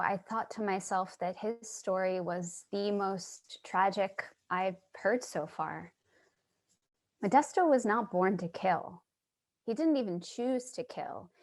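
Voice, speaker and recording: A woman's voice: mid-range voice, lightly acted. A woman acting a compassionate, earnest writer who listens and narrates in a staged play reading. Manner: earnest, sympathetic. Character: compassionate, earnest writer interviewing homeless people, narrating to audience. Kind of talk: dramatic dialogue and monologue in a staged play reading. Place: online video call reading.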